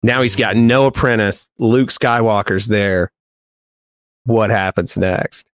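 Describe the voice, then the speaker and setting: Man, low-ish register, dry close recording, joking casual fan chat. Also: fairly even intonation, slow pace, dry recording. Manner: informal, joking, sweary. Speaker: podcast co-host.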